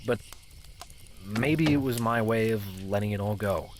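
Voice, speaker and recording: A man's voice: on the low side, a little slow, background noise, natural voice. A man playing an uneasy grown grandson who narrates an audio drama and talks in a hesitant way. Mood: reflective. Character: grown grandson, uneasy, relieved, reluctant with his family. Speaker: narrator and lead character. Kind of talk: first-person audio drama narration and dialogue. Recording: music bed under the voice.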